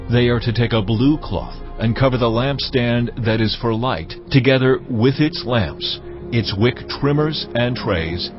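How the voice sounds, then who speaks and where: A man playing God in a dramatized scripture reading, giving solemn commands in a measured, authoritative, formal way. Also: medium pitch, natural voice. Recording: slightly muffled, music underneath.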